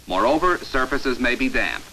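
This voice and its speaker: An American man delivering scripted instructional narration for a 1950s training film in an authoritative, measured, emphatic announcer manner, on an old film soundtrack. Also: medium pitch, animated, sharp tone, a little hiss or hum, rough recording.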